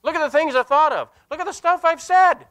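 An older man, a Lutheran pastor, preaching a sermon in an earnest, emphatic manner with deliberate pauses and repeated phrases. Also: extremely high pitch, fairly bright tone. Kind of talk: sermon with scripture and anecdote. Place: indoor church sanctuary at a lectern.

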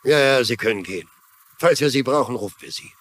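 A man playing a brusque, impatient police lieutenant with a husky voice in a dubbed crime drama. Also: mid-range voice, quick pace, a bit bright, lightly acted. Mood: businesslike.

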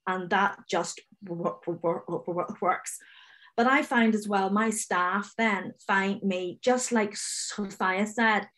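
Northern Irish woman, 40s, video call panellist; open stammer with repeats and blocks, unhurried, self-assured. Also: medium pitch, fairly bright tone. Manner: confident, direct. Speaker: webinar panellist, finance manager. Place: home room, indoors. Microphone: video call webcam.

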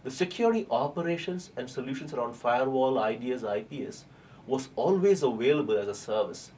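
A man's voice: mid-range voice, brisk, far from the mic, slight background noise. Formal man speaking from off-mic. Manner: formal, steady. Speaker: security applications manager. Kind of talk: recorded interview answer.

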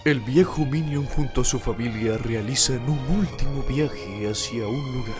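A man with a Latin American accent delivers parody documentary narration. His mid-range voice keeps a mock-solemn tone with a crude streak. Music plays clearly underneath. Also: mid-range voice, normal speed. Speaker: narrator and fandubber. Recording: music loud behind narration.

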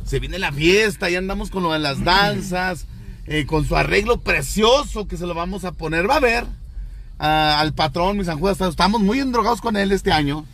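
A man's voice: high voice, moderate pace, fairly bright tone, a little hiss or hum. A middle-aged man, vlogging casually with a joking, teasing, folksy manner. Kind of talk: casual chat and street vlogging.

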